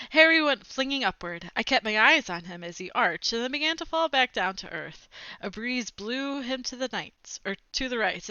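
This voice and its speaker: A woman reading a children's book aloud in an expressive, dramatizing way, with chatty asides. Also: medium pitch, no echo, highs cut off. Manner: animated, dramatizing dialogue, commenting to young listeners. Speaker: read-aloud storyteller.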